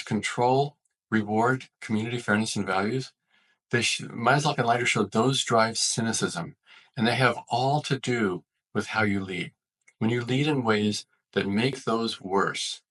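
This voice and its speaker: A man with a fairly low, husky voice speaks as an expert guest. He lays out his points methodically and earnestly in an explanatory interview register. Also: fairly bright tone, rough recording. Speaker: physician, author and leadership expert interviewed as guest.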